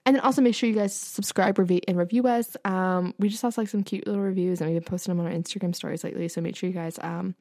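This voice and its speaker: A young woman co-hosting a chatty podcast, her voice sitting a little low for a woman. She talks fast and bubbly, and scatters fillers throughout. The sound is dry and close, with no room around it. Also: steady tone, a little mellow, no room sound. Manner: fast, bubbly, filler-heavy talk with lots of laughing. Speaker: podcast co-host. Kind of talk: casual chat.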